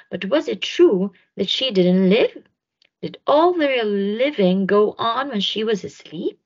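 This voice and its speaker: A woman reads a children's story at a slow pace with very lively intonation. She leans on words for young listeners, and her lowish voice has a husky edge. The recording is dull and lo-fi. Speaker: storyteller reading a picture book. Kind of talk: expressive children's read-aloud narration.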